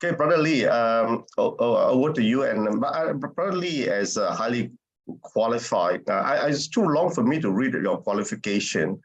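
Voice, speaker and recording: A man's voice: mid-range voice, animated, moderate pace, husky, muffled recording. A man moderating an online talk, introducing a guest speaker with hesitant speech and repeated fillers.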